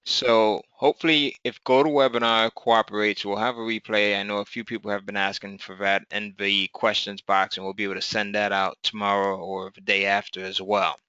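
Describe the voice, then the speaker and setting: A hoarse man presenting a live webinar, stopping and restarting with lots of fillers. His voice sits low yet bright and smooth. The audio is dry and dull, like lo-fi streaming sound. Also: little pitch movement, no echo. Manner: halting, many fillers.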